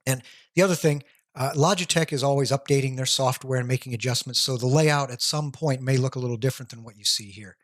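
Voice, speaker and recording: A male tech reviewer guides the listener through a software panel, one setting at a time, with the odd "uh" slipping in. His voice sits at a middle pitch and is recorded dry, right against the mic, with no room sound. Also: fast talker, dry recording. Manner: plain step-by-step explaining, occasional "uh" fillers. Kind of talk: software walkthrough voice-over.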